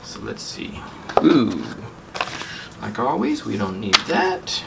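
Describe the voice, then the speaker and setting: Gravelly-voiced man, noisy distant mic. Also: fairly low pitch, very animated, slow pace. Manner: informal, thinking aloud while handling items. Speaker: gadget review channel host. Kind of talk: casual unboxing commentary. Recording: distant mic, clearly noisy, handling crinkle.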